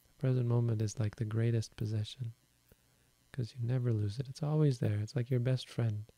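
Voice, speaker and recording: Quiet, gravelly male meditation teacher. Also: medium pitch, no echo. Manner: slow, calm, reflective. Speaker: Buddhist teacher. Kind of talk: dharma talk.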